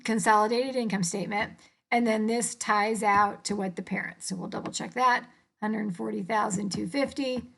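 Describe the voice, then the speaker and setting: A woman's voice: medium pitch, creaky, a bit bright. A woman instructor informally explaining worked accounting problems step by step.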